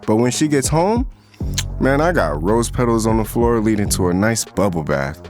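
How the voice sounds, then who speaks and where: Audio drama role: smooth-talking, teasing young American street guy played by a man, big pitch swings, light music bed. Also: sing-song, normal speed, darkish tone. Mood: boastful, playful. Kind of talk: casual audio drama dialogue.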